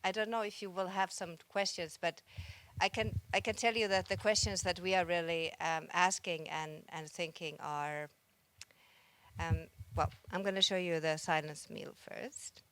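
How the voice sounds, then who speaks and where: Low, smooth-voiced Finnish woman. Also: fairly even intonation, normal speed, fairly bright tone, dry recording. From Finland. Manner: reflective, searching for words with frequent restarts. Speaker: visual artist giving a conference talk. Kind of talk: conference presentation. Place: conference hall stage.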